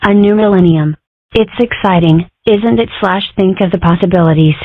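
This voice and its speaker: A woman's voice: mid-range voice, normal speed, no echo, natural voice. A mischievous, self-important young fox girl from a cartoon comic dub, acted by a woman. She speaks in theatrical declarations, full of herself. The recording is thin and narrow like a phone line, with no room sound. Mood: excited. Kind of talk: amateur comic dub character acting. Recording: muffled, tinny phone-like audio.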